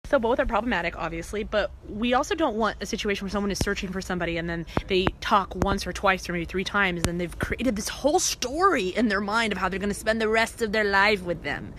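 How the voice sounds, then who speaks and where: A woman's voice: medium pitch, fairly quick, few pauses, noisy background. A woman giving casual relationship advice as a vlogger, in a conversational, emphatic way. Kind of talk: casual advice talk to camera.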